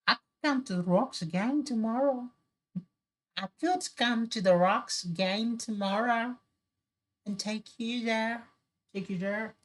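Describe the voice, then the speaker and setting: A friendly young mermaid maiden from a storybook folktale, as a man acts her out. The voice is a high, lilting falsetto that repeats its inviting phrases sweetly and without hurry. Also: a little slow, fairly bright tone, low-quality recording.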